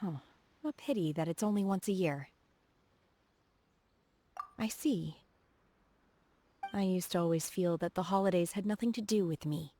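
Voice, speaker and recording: A woman voicing a reserved, earnest, socially awkward young female martial disciple in a video game, speaking in a formal, measured way. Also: fairly low pitch, warm tone. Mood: wistful. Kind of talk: video game character voice acting. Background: background music.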